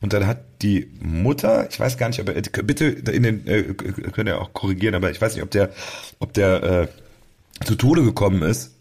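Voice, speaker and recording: A man with a fairly low, raspy voice talks casually as a podcast host. He rambles in a halting way, often starting a sentence and then correcting himself. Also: expressive, a little mellow. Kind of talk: casual chat about music.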